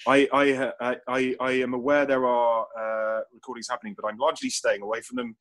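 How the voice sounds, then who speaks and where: A man's voice: mid-range voice, flat delivery, a little fast, fairly bright tone. A man in casual panel chat as a writer, rambling and often restarting his phrases. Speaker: audio drama writer. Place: remote online call.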